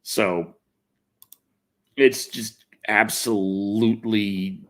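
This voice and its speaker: A man's voice: lowish voice, steady tone, drawn-out words. A man chatting casually on a livestream, rambling and griping in a wry, exasperated, sweary way. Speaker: livestream host. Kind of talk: casual rambling livestream chat, reading comments.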